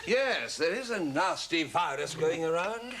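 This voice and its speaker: A man acting as a doctor in a comedy sketch, speaking briskly with a raised, patient tone. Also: a little high, animated, noisy background. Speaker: sketch actor playing a doctor.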